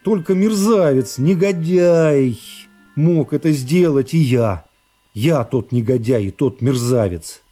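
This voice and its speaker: Remorseful aristocrat played by a male reader, radio-play soliloquy, mid-pitched, soft-edged tone, tormented and emphatic. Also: animated, slow pace, soft background music. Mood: self-disgusted. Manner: anguished, emphatic interior monologue. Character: remorseful nobleman agonizing over his guilt.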